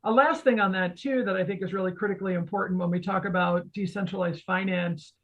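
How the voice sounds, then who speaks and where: A woman's voice: mid-range voice, steady tone, fast. A woman co-hosting a podcast, explaining conversationally in an earnest, emphatic way, on slightly muffled audio. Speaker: podcast co-host. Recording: dull, band-limited audio.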